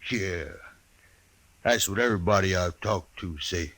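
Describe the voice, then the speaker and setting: An actor playing a huge, simple, blunt buffalo hunter with a rustic drawl in a 1950s western radio drama. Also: male voice, low voice, a bit bright. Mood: grim, menacing. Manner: slow, rustic ungrammatical drawl.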